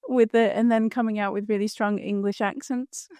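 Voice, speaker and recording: A woman's voice: medium pitch, fairly even intonation, moderate pace, warm tone, clean tone, no echo, studio quality. A woman hosting a podcast, asking curious, friendly interview questions. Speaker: podcast host.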